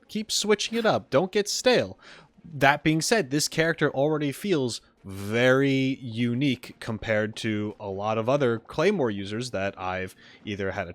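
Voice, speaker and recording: A man's voice: medium pitch, fairly bright tone. A man giving casual gaming reaction commentary as a video host, informal and exclamatory, thinking aloud as he goes. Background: background game music.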